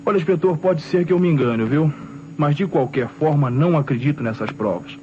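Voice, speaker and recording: An actor playing a self-assured young student with a Brazilian accent, arguing articulately in an old radio detective drama. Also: male voice, on the high side, fairly quick, dark tone, lightly acted. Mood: confident, protesting. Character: self-assured young male student defending his father. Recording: dull old radio recording, faint noise.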